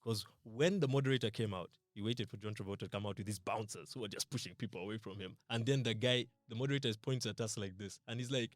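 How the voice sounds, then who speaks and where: A man's voice: on the low side, fast talker, few pauses, dry recording. Ugandan man telling stories, close dry sound. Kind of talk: casual anecdote storytelling.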